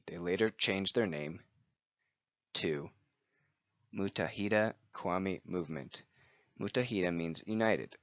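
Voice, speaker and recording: Man, very low pitch, forceful bright tone, steady scripted read, dry close mic, dull lo-fi sound. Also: no echo. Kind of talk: scripted read-aloud presentation.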